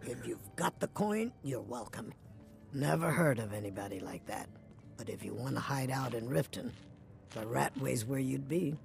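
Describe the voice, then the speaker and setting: An actor playing a gruff, world-weary tavern keeper in a fantasy video game, gravelly, blunt and offhand. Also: male voice, mid-range voice, expressive, normal speed, soft background music.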